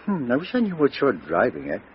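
A blunt police inspector. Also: male voice, mid-range voice, wildly swooping pitch, a little hiss or hum. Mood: questioning. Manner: brisk, direct questioning. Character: blunt middle-aged male police inspector. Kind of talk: 1950s radio drama acting. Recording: dull lo-fi old radio recording.

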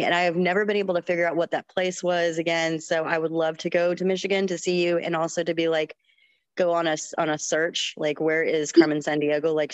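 A Texan woman co-hosting a podcast, telling stories in an animated way full of "like." Her voice sits lowish and sounds close and dry. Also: no room sound. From Texas. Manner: animated, many "like" fillers. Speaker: podcast co-host. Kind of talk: casual storytelling.

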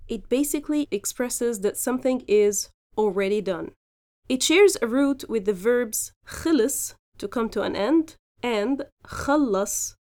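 A woman hosting a podcast, teaching phrases slowly and explaining each example in turn. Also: a little high, a little mellow. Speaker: podcast host and Arabic teacher. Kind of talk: language lesson podcast.

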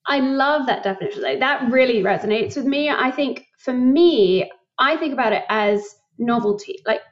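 A young woman from England, a company founder talking in a casual interview, thoughtful and quick to laugh. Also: high-pitched, lo-fi sound. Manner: reflective, laughs often, many likes. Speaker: guest, startup founder.

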